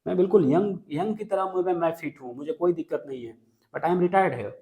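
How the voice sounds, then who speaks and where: A man's voice: a little high, moderate pace, warm tone. A man teaching a poem line by line, switching between Hindi and English and often checking that students follow. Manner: mixes Hindi and English, checks understanding, repeats key lines. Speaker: literature teacher. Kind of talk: classroom lecture explaining a poem line by line.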